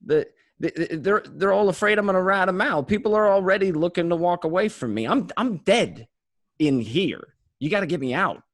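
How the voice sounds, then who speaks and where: A man's voice: high-pitched, lively intonation, normal speed, fairly bright tone, no echo, clean studio sound. A man playing a desperate, paranoid small-time gangster, stammering and swearing, in an amateur script read. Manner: stammering, repeating, profane.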